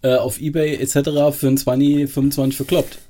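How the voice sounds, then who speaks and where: A man's voice: medium pitch, little pitch movement, quick pace, mellow. A man chatting casually as a podcast co-host, with teasing, ironic banter.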